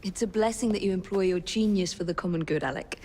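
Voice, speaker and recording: An actress playing a sharp, composed young woman assassin who speaks in a brisk, practical way, in a game cutscene. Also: on the low side, slight background noise, natural voice. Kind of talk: video game cutscene acting.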